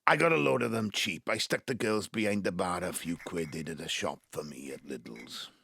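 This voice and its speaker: A man's voice: low voice, normal speed, gravelly, fairly bright tone, slightly rough, heavily acted. An actor playing a folksy, jovial Welsh widower in his seventies from the Valleys, rambling and chummy, in an audio drama. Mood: jovial, flustered. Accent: South Wales Valleys Welsh. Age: 70s. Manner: rambling, chummy, groping for words. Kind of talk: naturalistic audio drama acting.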